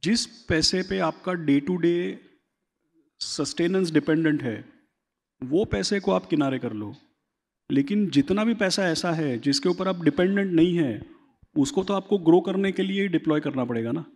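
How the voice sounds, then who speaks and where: Gravelly man explaining finance. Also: fairly high pitch, expressive, normal speed, darkish tone, in a large hall. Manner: explanatory, seeks agreement with repeated tag questions. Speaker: finance expert guest. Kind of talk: conversational interview, Hindi-English mix.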